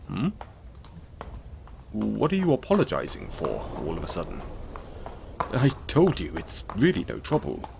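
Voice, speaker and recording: A man playing a kind, apologetic centaur in an audio roleplay, speaking gently and hesitantly to the listener in a reassuring manner. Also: on the low side, huge pitch swings, measured pace, creaky, long pauses, background noise, heavily acted. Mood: gentle, forgiving.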